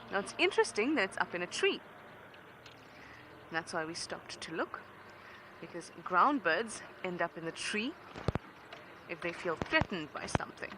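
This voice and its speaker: A woman guiding a live game drive, talking quietly and playfully, often close to a whisper, outdoors in the bush. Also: fairly low pitch, noisy background. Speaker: safari guide.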